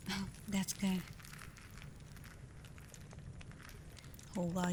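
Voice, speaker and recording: A woman playing a caring, teasing female alpha werewolf in an intimate, softly spoken ASMR roleplay. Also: mid-range voice, noisy background, lightly acted. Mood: fond, chatty. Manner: playful, unhurried, soft, at times whispering. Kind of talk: ASMR roleplay, intimate acting addressed to listener.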